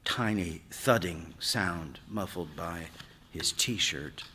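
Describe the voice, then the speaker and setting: A man reading a literary short story aloud on stage, in a measured, expressive way that brings out the drama. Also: low-pitched, slightly rough. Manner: measured, expressive, acts out dialogue. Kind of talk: dramatic literary narration read to an audience. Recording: reverberant hall, live stage reading.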